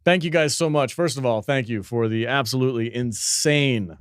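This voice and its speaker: A man's voice: medium pitch, moderate pace, smooth, no echo. A man hosting a casual gaming podcast, talking loosely and energetically with plenty of swearing. His voice sits in a middle range and sounds dry and close to the mic. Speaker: podcast host.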